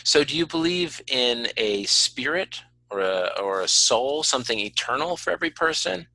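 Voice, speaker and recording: A man's voice: medium pitch, expressive, normal speed, sharp tone, no echo, poor audio. A man hosting a casual interview, asking informal questions and often chiming in with short acknowledgements. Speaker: podcast host, interviewer.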